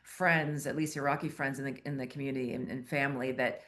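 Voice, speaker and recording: A woman's voice: very deep voice, steady tone, fast talker, fairly bright tone. A woman born in Iraq, whose first language is Arabic, chatting casually about her film with many you-knows. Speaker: filmmaker. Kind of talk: casual interview answers.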